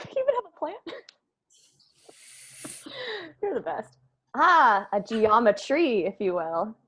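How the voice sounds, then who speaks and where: A woman's voice: on the high side, wildly swooping pitch, takes it slowly, sharp tone, heavily acted. A woman playing a brainy, earnest young college student in a comedy table read.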